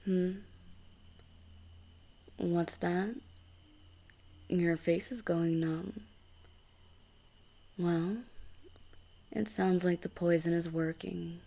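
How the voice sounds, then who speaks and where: A woman's voice: on the low side, dark tone, exaggerated voice. A woman playing a smug, taunting assassin villain in a fantasy audio roleplay, mocking and coolly seductive. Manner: mocking, unhurried, breathy chuckles.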